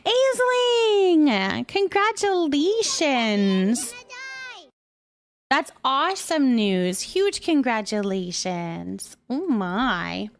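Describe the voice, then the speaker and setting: A woman with a fairly high voice talks casually as a live streamer. She is expressive and informal, responding to her viewers in a sympathetic, conversational way. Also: extremely slow. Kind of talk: casual chat with live stream viewers, reading and answering comments.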